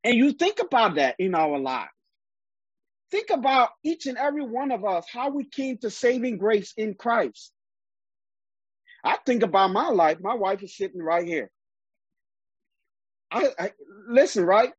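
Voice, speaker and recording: A man's voice: high-pitched, very animated, unhurried, long pauses, husky, dry recording, highs cut off. A man from Liberia preaching a sermon, emphatic and often repeating words while he gathers his thoughts.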